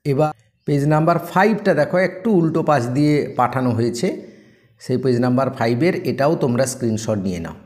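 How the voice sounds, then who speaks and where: Fast-talking man with an Indian Bengali accent. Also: medium pitch, a little mellow. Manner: instructive, repetitive emphasis, English exam terms mixed in. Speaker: teacher giving exam tips. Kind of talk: informal exam-preparation tutorial. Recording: echoey room.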